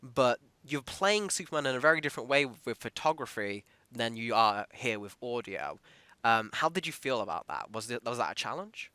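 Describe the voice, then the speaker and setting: A man's voice: medium pitch, big pitch swings, moderate pace, pushed and bright, clean tone, no room sound. A man hosting a podcast, interviewing a guest in a conversational style. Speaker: podcast host interviewing an actor.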